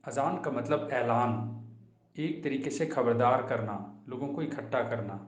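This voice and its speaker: A man's voice: mid-range voice, a little slow, natural voice. Man acting out a respectful earnest cleric, echoey.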